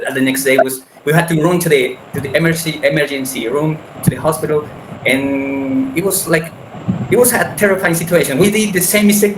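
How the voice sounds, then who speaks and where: A man sharing a personal story in casual conversation, speaking haltingly with frequent restarts over a video call. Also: on the high side. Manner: halting, many restarts and repeated words. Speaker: meeting participant. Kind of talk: casual conversation in English as a second language. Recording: echoey room with background noise.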